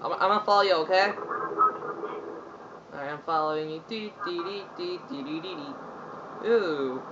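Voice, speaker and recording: A man trolling over game voice chat in a put-on squeaky, whiny, childish voice. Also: moderate pace, highs cut off, heavy background noise. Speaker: gamer trolling in online voice chat. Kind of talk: prank voice chat during online shooter.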